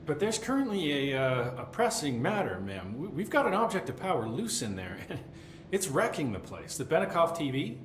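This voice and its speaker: An actor playing a fussy, deadpan middle-aged keeper of strange items, with dry rambling talk and awkward fillers. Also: male voice, fairly high pitch, normal speed. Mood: nervous. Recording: echoey game cutscene with faint hiss.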